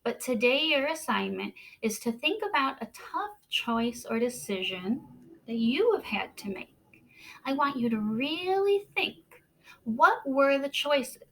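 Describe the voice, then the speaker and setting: A woman teacher reading aloud to children expressively, with deliberate dramatic pauses and questions for her young listeners. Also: sits high, lively intonation, fairly bright tone, poor audio.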